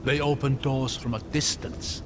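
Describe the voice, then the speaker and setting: A man's voice: medium pitch, fairly even intonation, measured pace, natural voice. A man playing a scholarly, curious companion in a video game, articulate and thoughtful, fascinated by ancient magic. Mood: musing. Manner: thoughtful, articulate, wonder-struck explaining. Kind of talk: dramatic video game dialogue. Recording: loud background noise, game ambience.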